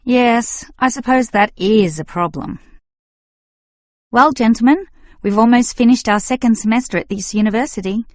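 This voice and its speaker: Female student played by a woman, young, agreeable yet opinionated, measured scripted delivery, dry studio acoustic. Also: a little high, no echo, lightly acted. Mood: agreeable, reasoning. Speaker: student in a listening test dialogue. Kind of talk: scripted exam dialogue acting.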